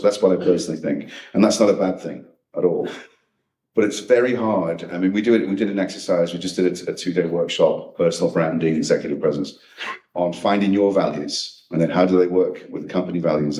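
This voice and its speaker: A man with a very low voice talks frankly and conversationally, restarting his sentences as he answers a live audience. He is picked up from a distance in a roomy, echoing hall. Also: warm tone. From United Kingdom. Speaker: speaker at a coaching event. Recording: echoey hall, distant mic.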